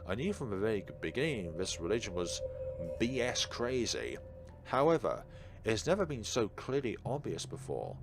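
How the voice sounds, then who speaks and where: Low-voiced man narrating a game over music. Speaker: gaming video host reading a visual novel aloud. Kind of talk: let's-play reading of narration with ad-libbed remarks. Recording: prominent game music behind the voice. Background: video game music.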